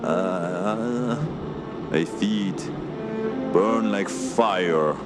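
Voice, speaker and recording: Frostbitten woodsman, very slow, low-pitched, groaning, piteous and childlike, radio theater, music behind. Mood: dazed, pained. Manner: groaning, halting, weak pained speech.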